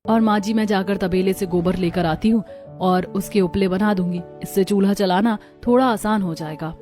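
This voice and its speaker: A woman's voice: mid-range voice, normal speed, darkish tone, faint music behind, lightly acted. A woman voicing village women of a poor household in a cartoon story. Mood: worried. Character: village women of a poor family, daughters-in-law and mother-in-law.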